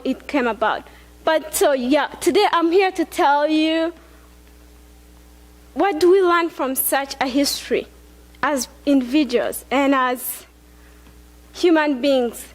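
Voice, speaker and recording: A young woman from Rwanda speaks on a large auditorium stage. Her high voice stays earnest and conversational, with plenty of fillers and restarts. A headset mic picks her up close. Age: young adult. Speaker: student speaker. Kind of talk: personal talk on stage.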